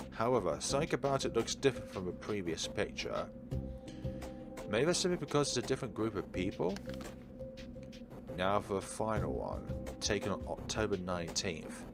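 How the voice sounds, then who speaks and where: A man with a fairly low voice reads a visual novel aloud as a let's player. He slips between narration and casual, musing commentary, with game music clearly playing behind him. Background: game background music.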